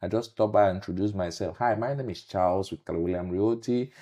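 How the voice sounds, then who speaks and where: A man's voice: low voice, fast, mellow. A man with a Nigerian accent, a realtor answering interview questions with earnest, emphatic storytelling.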